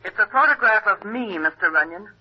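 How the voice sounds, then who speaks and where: An actress playing an anxious, self-important stage star, speaking with urgent insistence in a 1950s radio drama. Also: female voice, mid-range voice, animated, fairly quick, close to an everyday voice. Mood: anxious, insistent. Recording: muffled vintage radio telephone voice.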